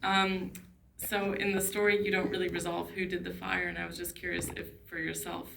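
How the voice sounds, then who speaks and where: Gravelly-voiced woman asking from the audience. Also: lowish voice, little pitch movement, fairly quick, fairly bright tone, slight background noise. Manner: slightly nervous, self-conscious. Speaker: audience member asking a question. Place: seated in a large cinema audience.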